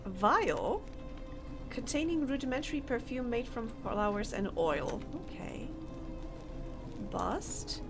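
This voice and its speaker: A woman's voice: a little high, big pitch swings, slow, pauses often, over music. A woman streamer chatting playfully and thinking aloud while she plays, sometimes reading in-game text aloud. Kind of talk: gameplay commentary.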